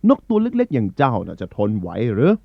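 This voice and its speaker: A man voices a ruling eagle in a children's tale, authoritative and caring. His delivery is animated and commanding, at a middle pitch. The sound is dry. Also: fast talker, creaky, slightly rough, no echo, highs cut off, heavily acted. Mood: concerned. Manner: commanding, addressing a gathering. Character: male eagle ruler of the birds, authoritative yet caring. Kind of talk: acted children's storybook.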